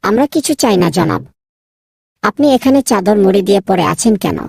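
Someone voicing a bold young boy, creaky husky voice. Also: medium pitch, animated, moderate pace, darkish tone, no echo, studio quality. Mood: curious, bold. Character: kind, bold young boy Nilu, bossy with his friend. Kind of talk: children's moral cartoon dubbing.

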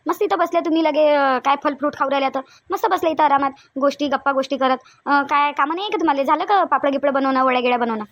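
A woman's voice: sits high, fast, few pauses, no echo, dull audio. A woman with a Varhadi Marathi accent voicing a nagging, sarcastic older village mother-in-law in a family cartoon. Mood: scolding. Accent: Varhadi (Vidarbha) Marathi. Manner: scolding, sarcastic, demanding. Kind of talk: cartoon family comedy acting.

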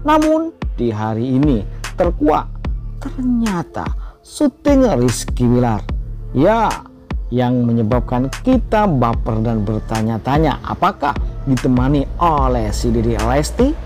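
A man's voice: medium pitch, very animated, slow pace, a little mellow. A man reading a scripted celebrity gossip voice-over with a sing-song delivery that swoops up on single words. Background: faint background music.

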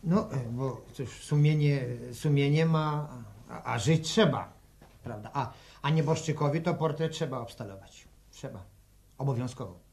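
An actor playing an unsentimental travelling portrait peddler in a 1960s Polish radio drama, with folksy, repetitive sales patter full of tic words. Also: male voice, medium pitch, moderate pace, a little mellow, lightly acted. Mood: matter-of-fact.

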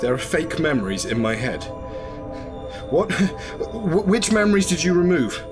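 A man's voice: a little high, sing-song, unhurried, music in the background. A man acting in a radio drama as an ordinary, uneasy husband, earnest and questioning. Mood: shocked, questioning.

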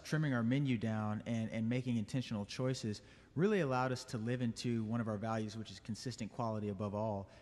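A young adult man, a guest at a church service, answering interview questions in a calm and reflective way. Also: mid-range voice. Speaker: guest, business owner and band leader. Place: church sanctuary. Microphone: stand mic. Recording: long reverb of a church hall.

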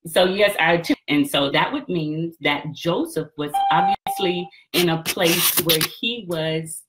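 A woman's voice: on the low side, measured pace, very noisy. A woman in her thirties hosting an online call-in session, speaking casually to her listeners from a small room. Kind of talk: casual, apologetic address to listeners. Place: small home office, indoors.

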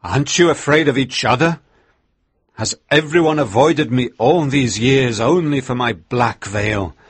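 A man's voice: mid-range voice, measured pace, breathy edge, dry recording, pitched above the performer's own voice. Man doing a dying old reverend crying out. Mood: accusing. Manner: cries out, raised and intense. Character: dying old male reverend, grim and defiant.

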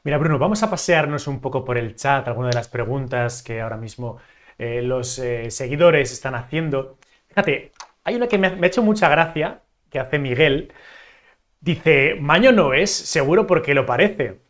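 A man's voice: medium pitch, normal speed, a bit bright. A man in his thirties from Zaragoza, Spain, hosting a live video-call interview and reading out viewer questions in a friendly manner. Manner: friendly, brief affirmations, laughs along. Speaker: interviewer host.

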